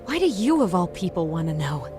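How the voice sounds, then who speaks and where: An actress playing a guarded, frosty schoolteacher in a video game, speaking curtly and with suspicion. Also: female voice, on the low side, big pitch swings, brisk, over music. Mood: suspicious, cold. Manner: curt, suspicious. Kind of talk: video game cutscene acting, English dub.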